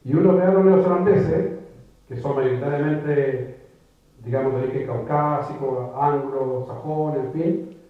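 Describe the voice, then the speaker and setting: A man's voice: on the high side, measured pace. Man speaking in an echoey hall. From Chile. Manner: reflective, with many restarts and repeated words. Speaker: writer giving a public talk. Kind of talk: conversational lecture. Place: lecture room before an audience. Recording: echoey phone-like room recording from far off.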